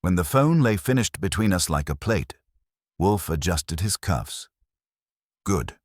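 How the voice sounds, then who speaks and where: A man's voice: low voice, expressive, unhurried, no echo, clean studio sound. A man narrating a first-person detective mystery story from a script. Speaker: audiobook narrator.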